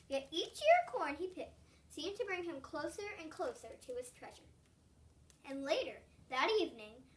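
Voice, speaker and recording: A young child with a high, bright voice reads a story aloud. The delivery is expressive, leaning on certain words and drawing them out. The voice sounds a little way off from the microphone. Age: child, about 8. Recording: distant from the microphone.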